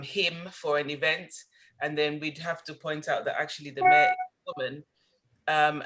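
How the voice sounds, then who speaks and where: A woman's voice: sits low, a bit bright. A woman, speaking as a guest in a panel discussion at a live event and answering reflectively with frequent fillers. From England. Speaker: panel guest, former civic mayor.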